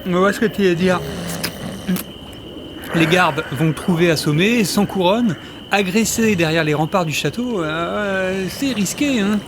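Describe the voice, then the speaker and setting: A man acts a cheerfully clueless time-traveller sidekick for an audio comedy. His voice sits a little high and stays flippant and casual, over clearly audible background noise. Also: a little slow. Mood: breezy. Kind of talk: comedic audio drama acting.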